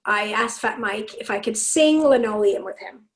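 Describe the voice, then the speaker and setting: A woman from Canada with a raspy, fairly deep voice, telling stories in a casual chat and acting out the lines she quotes. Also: normal speed, reverberant. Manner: animated, acts out quotes, laughs often. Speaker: podcast guest, singer and speaker.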